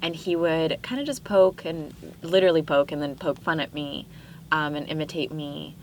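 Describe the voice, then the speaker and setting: A young woman from Pakistan speaking as a podcast guest, telling stories in a chatty, animated way with frequent fillers and no accent. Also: deep voice, moderate pace, slight background noise. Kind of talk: casual interview chat.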